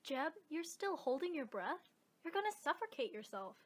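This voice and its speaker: A woman's voice: high voice, very animated, moderate pace, very noisy. A woman playing an earnest, plucky young girl in a radio drama. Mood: matter-of-fact.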